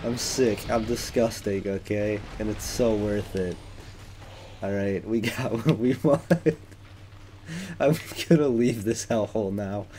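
A man's voice: on the low side, slow pace, dark tone, a little hiss or hum. A man giving casual, rambling let's play commentary on a video game, with a wry manner. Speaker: let's player.

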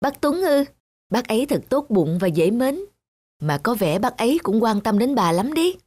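A woman's voice: a little high, very animated, moderate pace, a little mellow. Woman playing a chatty, sympathetic neighbour lady. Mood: friendly, sympathetic. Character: friendly, sympathetic female neighbour.

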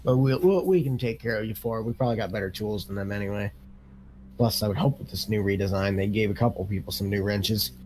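A man's voice: on the low side, fast talker, a little mellow, slight background noise, rough recording. A man improvising casually as an equipment dealer in a game role-play, matter-of-fact and conversational.

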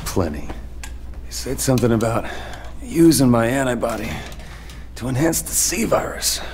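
An actor playing a bitter, tough young mercenary in a video game cutscene, American, with a blunt, sardonic delivery. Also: male voice, medium pitch, expressive, unhurried, darkish tone, long reverb tail, a little hiss or hum. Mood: guarded, sardonic. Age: young adult.

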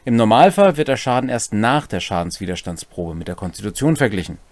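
A man's voice: fairly low pitch, animated, fairly quick, a little mellow. A man in his forties explaining tabletop game rules in a conversational way as a co-host and game master. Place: small home room, indoors.

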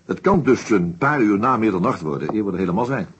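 An actor playing an unflappable, wry police inspector in a radio play, husky and nonchalant. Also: male voice, on the low side, animated, fast, slight background noise, lightly acted. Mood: wry, relaxed. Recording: slightly dull radio drama recording.

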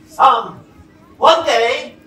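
A man's voice: pushed and bright, a little hiss or hum, full character voice. A man with an English accent playing a cheeky, showy older king in a stage pantomime. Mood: fatherly, pompous. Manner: booming, showy, drawn-out delivery. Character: bombastic, cheeky older king, the prince's father. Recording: echoey theatre stage from far off, audience.

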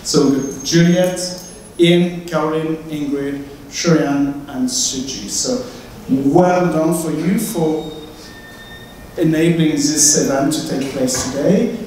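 A man's voice: fairly high pitch, a little mellow, recorded from a distance, a little hiss or hum. French-accented man hosting in an echoey hall. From France. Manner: chatty, welcoming, halting with fillers. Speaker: host. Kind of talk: event hosting speech. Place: large lecture hall, indoors. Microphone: handheld mic, far camera.

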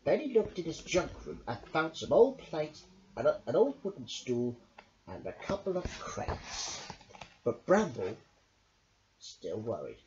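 Slow, hugely swooping male storyteller. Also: on the high side, very animated, a little mellow, slight background noise. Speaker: storyteller, channel host. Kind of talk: children's picture-book narration. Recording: dull lo-fi home recording.

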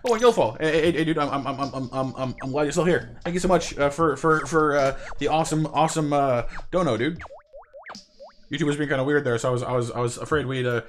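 A young man with a slightly raspy voice from a recent cold, hosting a casual live game stream with viewers and often stammering over repeated words. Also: on the high side, fast talker, a little hiss or hum. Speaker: livestream gaming host.

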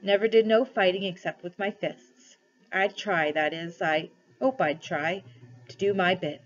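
A woman's voice: medium pitch, animated, moderate pace, muffled recording, close to an everyday voice. A woman voicing a humble, honest male cabby from a storybook, hesitant and deferential, with a rustic Cockney accent. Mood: modest, hesitant. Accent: Cockney softening to country. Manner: deferential, hesitant, plain-spoken.

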